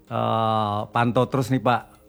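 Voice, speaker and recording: A man with a Javanese accent co-hosting a friendly radio chat, cheerfully agreeing with his partner. His pitch sits in the ordinary middle range for a man. Faint music runs under the studio talk. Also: little pitch movement, creaky, clear, resonant tone. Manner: upbeat, agreeable, quick to affirm. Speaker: radio co-host.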